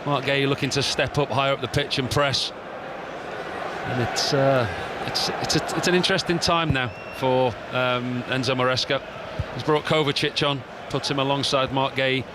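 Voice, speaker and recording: A man's voice: medium pitch, steady tone, moderate pace. A man giving thoughtful co-commentary on a football match, talking through tactics. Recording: stadium crowd and noise behind.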